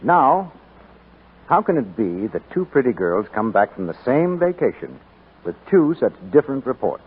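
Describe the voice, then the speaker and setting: A man's voice: medium pitch, very animated, normal speed, breathy edge. A male announcer reading a scripted advertisement in polished 1940s radio style. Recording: muffled, fuzzy 1940s radio recording.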